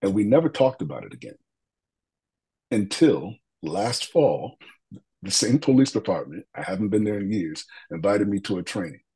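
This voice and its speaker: A man with a deep voice, a guest in an interview, telling an earnest, reflective story with frequent fillers and restarts. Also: animated, normal speed, dark tone, low-quality recording. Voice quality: deep, husky voice.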